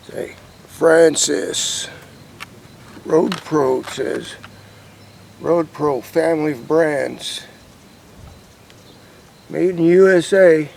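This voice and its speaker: Gravelly American man, casually thinking aloud. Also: on the high side, darkish tone, slight background noise. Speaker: hobbyist vlogger demonstrating CB radio gear. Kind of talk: casual rambling demonstration to camera.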